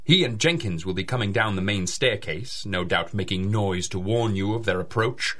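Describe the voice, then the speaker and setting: A man's voice: lowish voice, normal speed, a bit bright. A man playing a smug, threatening scientist in a 1930s-style radio drama, with a sly, insinuating delivery. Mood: smug, suspicious. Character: smug, threatening male laboratory scientist, a suspicious rival.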